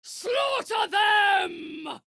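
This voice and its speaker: An imperious drow warrior priestess for a game, slow n deliberate, super dry close sound, ruthless. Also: female voice, very high voice, expressive, vocal fry, pushed and bright, dry recording, shouting, some chatter behind, heavily acted. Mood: furious. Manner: imperious, measured, cutting. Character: ruthless, imperious female drow warrior cleric, haughty and menacing. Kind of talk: video game character voice lines.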